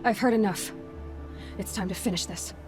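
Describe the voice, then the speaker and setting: A woman's voice: medium pitch, normal speed, close to an everyday voice. Firm, terse young huntress played by a woman. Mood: solemn, resolute. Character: stern young female huntress, determined interrogator, ultimately merciful. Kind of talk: dramatic video game acting. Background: faint background music.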